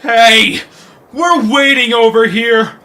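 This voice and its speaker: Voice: mid-range voice, fairly even intonation, slow pace, pushed and bright. Demanding, hungry cartoon troll. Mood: impatient, angry. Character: hungry, demanding, gruff pizza troll, impatient. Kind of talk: fan audio drama acting. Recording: music behind the voice.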